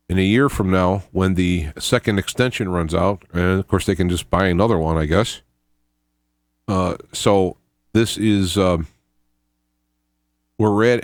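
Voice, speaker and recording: Man, low voice, dry close sound, rambling informal commentary, self-correcting. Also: no echo. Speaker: host of an independent radio show. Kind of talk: informal video commentary on his own investigation.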